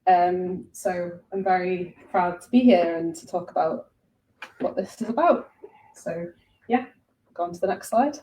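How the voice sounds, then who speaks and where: A woman's voice: on the low side, measured pace, warm tone. A woman giving an informal presentation to a room, hesitant and a little self-conscious. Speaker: presenter, audio drama creator and director. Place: indoor room at a live presentation. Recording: echoey room, distant mic, faint noise.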